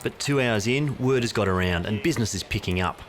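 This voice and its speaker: A man narrating a documentary in a measured, wry storytelling manner. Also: on the low side, a little hiss or hum. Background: music bed.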